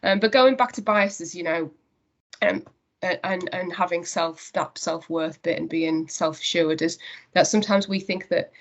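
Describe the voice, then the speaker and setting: Northern English woman, slightly low voice. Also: moderate pace. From Northeast England. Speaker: interviewee, company managing director. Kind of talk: conversational interview.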